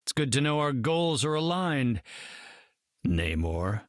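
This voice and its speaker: An actor playing an earnest, self-assured scientist hero in a video game, articulate and formal. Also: male voice, mid-range voice, sing-song, slow, no room sound, studio quality. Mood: guarded. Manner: articulate, formal, matter-of-fact.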